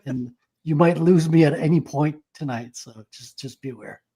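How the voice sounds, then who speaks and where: A man's voice: fairly high pitch, normal speed, warm tone. A man co-hosting a casual online show, laid-back and joking. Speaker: co-host. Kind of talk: casual chat.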